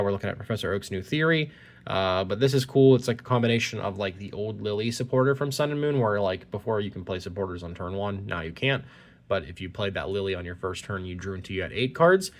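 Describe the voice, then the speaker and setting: A man talking casually while opening trading cards, slightly congested from a cold, reading card text aloud, recorded on a phone. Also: fairly low pitch. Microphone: phone recording at point-of-view angle.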